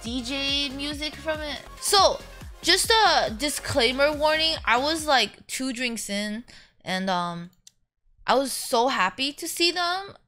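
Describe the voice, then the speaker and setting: A young woman streamer telling stories casually, chatty and a little crude, jumping between excited squeals and flat deadpan jokes. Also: high-pitched, takes it slowly, faint music behind. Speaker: VTuber streamer. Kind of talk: casual storytelling vlog.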